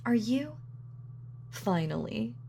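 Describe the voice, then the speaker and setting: A woman's voice: a little high, big pitch swings, slow, gravelly, warm tone, a little hiss or hum, exaggerated voice. A woman playing a sadistic, gloating female supervillain in a scripted roleplay audio, taunting and savoring her words theatrically. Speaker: voice actor.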